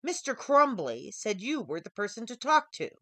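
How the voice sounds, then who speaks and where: Woman voicing a slow, suave mysterious man. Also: a little high, very animated, a bit bright, no echo, studio quality. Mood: suave, composed. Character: suave mysterious male professor, smooth and slyly courteous. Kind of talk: audiobook character dialogue.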